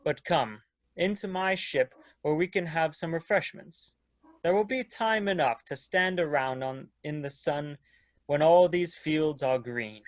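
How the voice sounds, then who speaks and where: An actor playing a courtly, earnest leader of a space expedition, with a formal, old-fashioned, oratorical manner in a dramatic reading. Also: male voice, fairly high pitch, measured pace, dry recording, lightly acted. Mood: earnest, stirring. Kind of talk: dramatic reading of science fiction.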